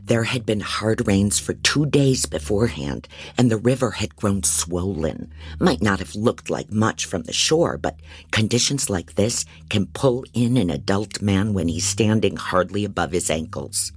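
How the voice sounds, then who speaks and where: A man's voice: on the low side, expressive, unhurried, slightly rough, heavily acted. A man playing a frantic, disorganized private investigator who narrates an audio drama in a rambling, wry, self-doubting way. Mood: wry, brooding. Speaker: narrator and lead actor. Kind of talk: first-person dramatic audio fiction narration.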